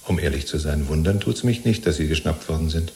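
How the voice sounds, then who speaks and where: An actor in a 1970s German radio play, playing a chatty, servile jailed party loyalist who rambles and justifies himself. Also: male voice, very deep voice, expressive, fairly quick, darkish tone. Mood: self-justifying. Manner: rambling, ingratiating, chummy, seeking reassurance.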